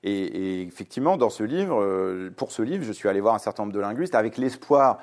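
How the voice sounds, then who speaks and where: A man's voice: fairly low pitch, lively intonation, brisk. A man in his forties, from Lebanon, a guest author answering interview questions fluently and with many hesitations. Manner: fluent, reasoned, many fillers. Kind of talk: radio interview.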